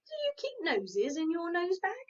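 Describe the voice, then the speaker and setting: A woman's voice: very high voice, huge pitch swings, unhurried, voice pushed up, full character voice. Woman doing a chirpy, nosy young farm animal. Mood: eager. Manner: eager, chirpy and curious. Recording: distant, dull lo-fi.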